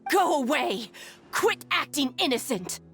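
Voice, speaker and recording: A woman's voice: high voice, very animated, measured pace, a bit bright, soft background music, heavily acted. An actress playing a wary, hostile townswoman in a video game, with an accusing manner.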